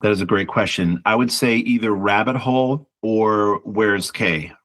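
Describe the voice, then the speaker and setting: Relaxed low-voiced man in interview. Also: measured pace. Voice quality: baritone voice. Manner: reflective, restarts with small repeats, chatty. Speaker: band singer and songwriter. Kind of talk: casual interview talk.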